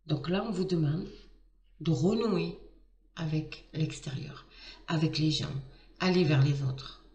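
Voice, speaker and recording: A woman with a slightly hoarse voice talks her viewers through a card reading, warm and excitable, stumbling through restarts and fillers. She sits a little away from the mic, and the room rings around her. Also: deep voice, slow, reverberant, far from the mic, lo-fi sound. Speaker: tarot card reader. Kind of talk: casual astrology reading to camera.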